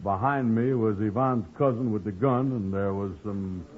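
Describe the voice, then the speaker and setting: An actor playing a sheepish, gullible, slow-witted man in old radio comedy, speaking hesitantly in folksy dialect grammar. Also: lowish voice, slightly rough. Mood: sheepish, evasive. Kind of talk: 1940s radio comedy acting. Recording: muffled, noisy vintage radio recording.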